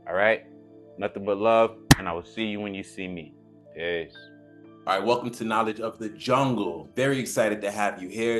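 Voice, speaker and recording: A man giving casual motivational talk as a spiritual coach, slangy and emphatic, repeating phrases for effect. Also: on the low side. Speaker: spiritual coach and channel host.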